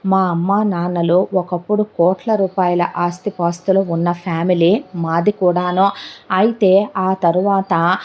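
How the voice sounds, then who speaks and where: A middle-aged uncle speaks low and hushed, weighed down by regret, as a woman narrator plays him. His voice is mellow and dark, and he tells his story slowly. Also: level tone, natural voice. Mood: sorrowful. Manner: slow, heavy storytelling.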